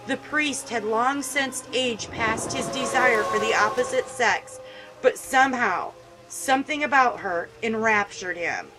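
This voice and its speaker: A woman's voice: a little high, a little slow, music in the background, rough recording. A woman hosting a gaming video, reading a visual novel aloud and commenting with emotional, indignant feeling.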